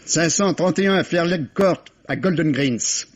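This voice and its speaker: An actor playing an authoritative, probing police inspector in a French radio mystery play, formal and drily ironic. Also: male voice, a little high, lively intonation, a little slow. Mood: wryly probing. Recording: muffled old French radio recording.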